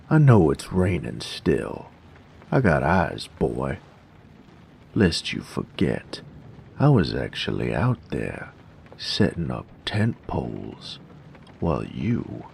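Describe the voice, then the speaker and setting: A man playing a weary, sardonic knight in a fantasy audio drama, grumbling and teasing in a gravelly, folksy drawl. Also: darkish tone, a little hiss or hum, heavily acted. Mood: irritated, sarcastic. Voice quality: gravelly, very deep.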